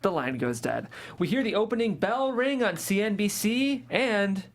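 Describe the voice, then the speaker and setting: A narrator reads the scene directions aloud at a table read. The voice is mid-pitched and delivers the lines in a plain, even way. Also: moderate pace. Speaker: narrator reading stage directions. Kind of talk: table read of a comedy script.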